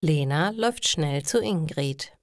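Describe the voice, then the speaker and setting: A woman reading a scripted story slowly for language learners. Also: low voice, expressive, no room sound, studio quality. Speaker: narrator reading stories for German learners.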